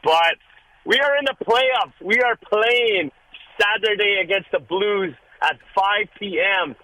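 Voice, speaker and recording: A hoarse man calls into a radio show and rambles, filling gaps with ums. His voice is fairly high and bright and pushed. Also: slow pace, poor audio. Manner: rambling with many fillers. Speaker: caller. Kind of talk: casual call-in chat.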